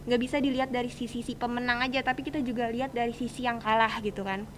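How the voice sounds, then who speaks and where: A woman's voice: on the high side, level tone, fast. A teenage young woman with a Jakarta accent hosting a casual student podcast, chatty and encouraging in colloquial speech. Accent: Jakarta Indonesian. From Indonesia. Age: teens, high school student. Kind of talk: casual chat, interviewing. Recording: faint background ambient noise.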